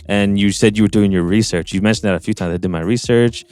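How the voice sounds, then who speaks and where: A man's voice: fairly low pitch, fast, darkish tone, faint music behind. A man from Hawaii, one of the podcast hosts, who chats in a playful, joking way. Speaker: podcast co-host. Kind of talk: casual podcast chat.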